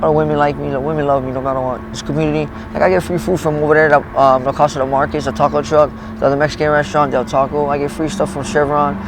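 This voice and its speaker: Fast-talking rambling man in his thirties. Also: a little high, little pitch movement, background noise. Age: 30s. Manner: rambling, swears a lot, restarts often. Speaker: interviewee. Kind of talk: street interview, rambling personal story. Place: outdoors in a parking lot.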